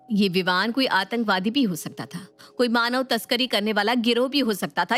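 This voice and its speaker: A woman's voice: on the high side, a bit bright, lightly acted. A woman voicing a stern elder sister-in-law, confronting and lecturing in a dramatized moral story.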